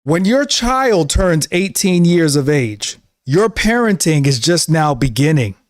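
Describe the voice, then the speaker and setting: A man's voice: on the high side, sing-song, unhurried, dry recording, clean studio sound. A man in his thirties giving an earnest, preachy talk to camera as a show host, often repeating himself. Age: 30s. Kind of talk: casual monologue to camera.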